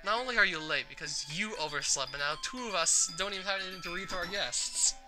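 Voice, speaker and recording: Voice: medium pitch, quick pace, pitched above the performer's own voice, natural voice, poor audio. A reader playing a stern girl club president, condescending, scolding, sarcastic, visual novel, music behind.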